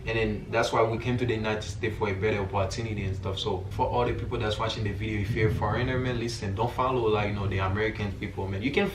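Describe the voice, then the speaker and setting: Young haitian guy vlogging, kinda low voice, slangy and earnest, mic sounds far off. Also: steady tone, quick pace, hardly any pauses. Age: young adult. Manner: informal, slangy, repeats filler phrases like "you feel me". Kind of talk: casual, earnest personal talk to viewers. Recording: roomy echo, distant mic, faint noise.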